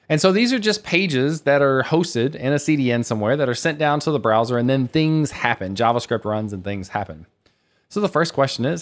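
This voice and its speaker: A man presenting a technical conference talk in a conversational, reassuring way, explaining things plainly and informally. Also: medium pitch. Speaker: conference speaker, developer teaching web development.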